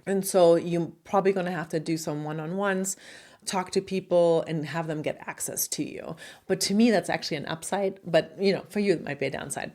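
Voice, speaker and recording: A middle-aged woman with a German accent speaks in a notably low register, talking straight to camera about her work. She is direct and plain-spoken, with flashes of silliness, in a casual coaching tone. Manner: direct and at times silly. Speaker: marketing coach and YouTuber.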